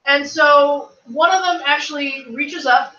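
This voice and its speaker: Woman GM, high and bright, echoey room heard through a distant lo-fi mic. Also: unhurried. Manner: casual, swears, lively storytelling. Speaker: game master. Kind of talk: narrating a tabletop game.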